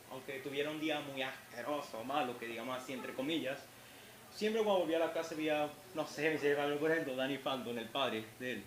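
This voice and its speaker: A man's voice: medium pitch, a bit bright. A young man at a convention Q&A, talking in an earnest, rambling way with restarts. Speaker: fan asking questions. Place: convention hall. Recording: echoey hall with background noise.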